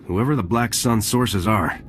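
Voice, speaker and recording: A steady, low-voiced soldier. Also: male voice, a little slow, a little hiss or hum. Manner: firm, clipped military replies. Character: dutiful male soldier, steady and confident. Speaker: lieutenant.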